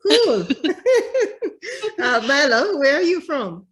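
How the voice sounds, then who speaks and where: A woman's voice: high-pitched, sing-song, slow pace. Affirming woman interview host. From immigrant to Canada since 2013. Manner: warm, affirming, chuckles between remarks. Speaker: podcast host. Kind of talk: interviewing guests over a video call. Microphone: video call.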